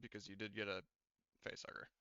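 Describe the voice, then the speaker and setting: A man's voice: on the low side, little pitch movement, fairly quick, sharp tone, smooth, no echo, lo-fi sound. A man speaking as game master, running a tabletop role-playing game and explaining rules and outcomes to players.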